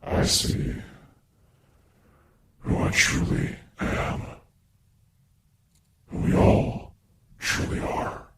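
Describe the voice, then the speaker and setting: A man voicing a horror monologue as someone consumed by rot, morbidly accepting death, brooding and halting, gravelly and breathy, often close to a whisper. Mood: exhausted, resigned. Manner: slow, brooding, halting delivery. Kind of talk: horror audio drama monologue.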